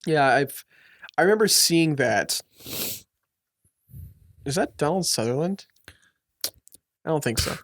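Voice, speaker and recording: A man's voice: on the high side, expressive, slow, long pauses, fairly bright tone, dry recording, studio quality. A young man co-hosting a podcast, chatting enthusiastically about a movie as it plays. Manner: enthusiastic, easygoing, says "like" a lot. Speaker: podcast co-host. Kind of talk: casual movie commentary chat.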